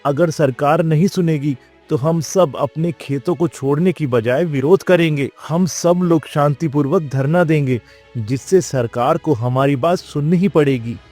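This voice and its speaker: A man's voice: on the high side, unhurried, a little mellow, faint music behind. Man acting a declamatory village authority figure, cartoon story. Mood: resolute. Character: domineering landlord and earnest village headman in a cartoon. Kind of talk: Hindi moral-story cartoon dubbing.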